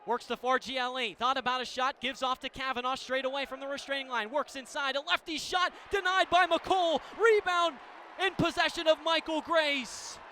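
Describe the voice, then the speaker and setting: A man with a very high-pitched voice calls lacrosse live on the radio. He describes the action in short, vivid running phrases, with clear game noise around him. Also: fairly even intonation, moderate pace. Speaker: play-by-play announcer. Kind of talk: live radio sports commentary. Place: sports venue broadcast position. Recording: loud arena noise behind commentary. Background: loud game ambience.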